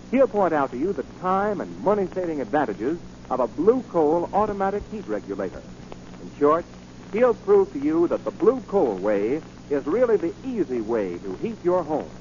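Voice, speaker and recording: Casting: American man as 1940s pitchman, polished and emphatic, slightly noisy, muffled broadcast sound. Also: darkish tone. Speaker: radio announcer. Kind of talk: 1940s radio advertising pitch. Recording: muffled, lightly noisy 1940 radio recording.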